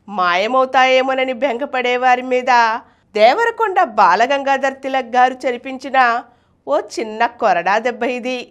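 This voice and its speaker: A woman with a high voice presents and recites verse from a script. Her intonation moves widely and she takes her time, with a declamatory, measured delivery. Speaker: host and poetry reader. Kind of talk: expressive scripted poetry recitation and commentary.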